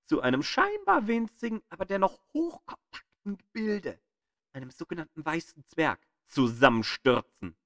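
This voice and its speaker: A man reading scripted documentary narration as an audio guide, in a deliberate storytelling way with dramatic emphasis. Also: a little high, fairly bright tone, no room sound, studio quality.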